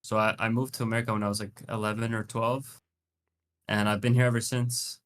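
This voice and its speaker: A man's voice: lowish voice, level tone, fairly quick, a bit bright. Easygoing young man from Iceland. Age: young adult. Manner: easygoing, laid-back. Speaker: college esports player guest. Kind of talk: casual chat.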